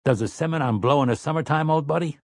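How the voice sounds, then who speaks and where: A man's voice: a little high, quick pace, dry recording, studio quality, voice pushed up. A man voicing a wily, cheerful grown man who talks casually and conspiratorially in an audiobook. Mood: sly, friendly.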